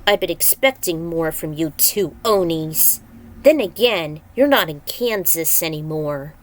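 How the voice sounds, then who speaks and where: A woman's voice: deep voice, lively intonation, measured pace. A woman reading the lines of a stern, weary male warrior in a story, grave and formal. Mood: taunting.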